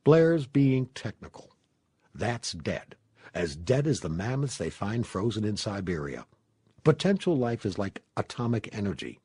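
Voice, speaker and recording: A man's voice: on the low side, a little mellow, breathy edge, dry recording, clean studio sound. A man voicing a stocky, sceptical expedition doctor in an audiobook, explaining his reasoning emphatically.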